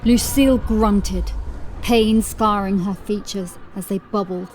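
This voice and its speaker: A woman narrating a horror audiobook in a dramatic, scripted style, with measured, suspenseful storytelling. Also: mid-range voice, fairly even intonation, darkish tone, a little hiss or hum. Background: music.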